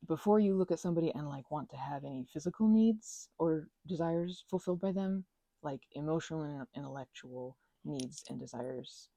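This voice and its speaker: A woman chatting gently in a meandering ASMR ramble, full of fillers and restarts, speaking affectionately to her listeners. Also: on the low side, dry recording. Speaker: ASMR creator. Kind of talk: soft-spoken ASMR ramble while crafting.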